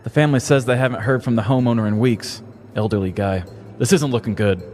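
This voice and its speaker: A man narrator voicing a serious, weary police sergeant with gruff authority in a comic story. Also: mid-range voice, fast talker, darkish tone, pitched above the performer's own voice, close to an everyday voice. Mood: resigned. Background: background music.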